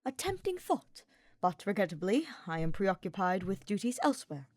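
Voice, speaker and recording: A woman voicing a grumpy, sardonic male dark mage in an audiobook, speaking in formal, dismissive phrases. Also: medium pitch, big pitch swings, moderate pace, dry recording. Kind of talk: fan fiction audiobook character voice.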